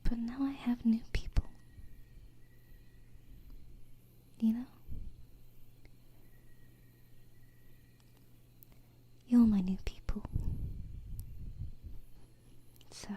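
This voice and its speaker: A woman playing a shy, homesick alien princess in an ASMR roleplay, speaking gently and hesitantly with long pauses into a close binaural microphone. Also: a little high, a little hiss or hum, close to an everyday voice. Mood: wistful, tender. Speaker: ASMR streamer.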